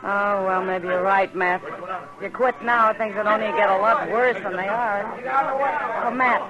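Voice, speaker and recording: A woman's voice: medium pitch, fairly even intonation, unhurried, lo-fi sound, a loud crowd, close to an everyday voice. A woman playing a frank, worldly, caring saloon woman with an American accent and a blunt, familiar manner in a 1950s radio western. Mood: concerned, scornful. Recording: vintage radio recording with music behind. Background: music.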